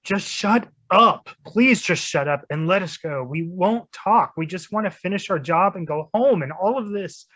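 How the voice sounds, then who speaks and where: A woman's voice: lowish voice, expressive, moderate pace, few pauses, a bit bright, slightly rough, no echo. Woman acting a frightened young henchman. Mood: desperate pleading. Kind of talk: staged play reading.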